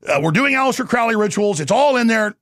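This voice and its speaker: A man's voice: very high voice, animated, quick pace, a bit bright, dry recording, studio quality. A man hosting an interview, ranting in an intense, rambling monologue. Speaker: show host.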